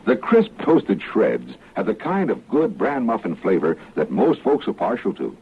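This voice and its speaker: A man's voice: on the low side, wildly swooping pitch, normal speed, gravelly, slightly rough. An American man delivering a folksy, scripted mid-century radio advertisement on a tinny old recording. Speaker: commercial announcer.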